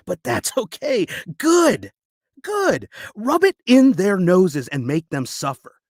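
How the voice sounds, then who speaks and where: A man's voice: high voice, no room sound, clean studio sound, voice pushed up, full character voice. An American man performing a dramatic monologue as a scrappy, embittered lawyer urging someone on with emphatic intensity. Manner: urgent, emphatic, building in intensity. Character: scrappy, embittered male lawyer giving a defiant pep talk. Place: home basement sound booth.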